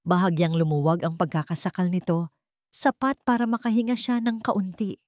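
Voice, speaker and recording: Low-pitched woman narrating a drama. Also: moderate pace, a little mellow, no room sound, studio quality. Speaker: story narrator.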